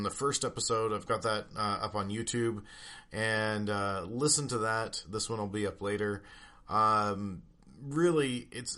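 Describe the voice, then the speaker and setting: A man casually hosting a radio show, talking in an informal, halting, chatty way. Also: lowish voice, bright, forceful tone. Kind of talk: casual hosting between old radio episodes.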